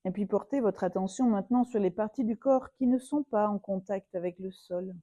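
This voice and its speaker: Female yoga teacher for guided relaxation: very dark, soft-edged tone, dry close sound, soothing and unhurried. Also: mid-range voice, dry recording. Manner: soothing, unhurried, with long pauses.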